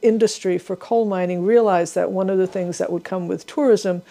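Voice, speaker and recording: A woman from Seattle answering an interview, thinking aloud with pauses and restarts. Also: medium pitch, steady tone, vocal fry, mellow. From Seattle, USA. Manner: thoughtful, pausing and restarting. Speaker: gallery owner and advocate, interviewee.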